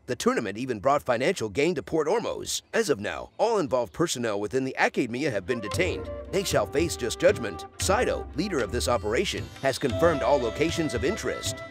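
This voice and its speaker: A formal, serious officer delivering a written report in a video game. His mid-pitched voice is measured yet varied in intonation, with loud music behind it. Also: very animated. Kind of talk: scripted reading of a letter.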